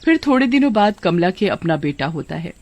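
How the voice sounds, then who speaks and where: A woman's voice: fairly low pitch, sing-song, a little fast, a little mellow, muffled recording. A woman giving scripted narration for a children's cartoon story.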